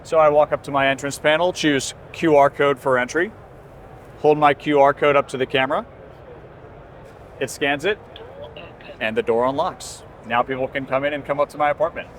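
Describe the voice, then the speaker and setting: A man's voice: fairly high pitch, steady tone, normal speed, pauses often. A man giving a conversational, explanatory product demonstration as a company presenter at a trade show. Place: busy indoor trade show booth. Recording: constant trade show floor noise. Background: exhibition hall ambience.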